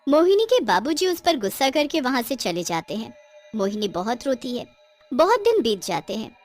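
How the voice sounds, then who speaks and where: A woman's voice: a little high, big pitch swings, normal speed, soft background music. A woman narrating a scripted cartoon story in a dramatic storytelling style.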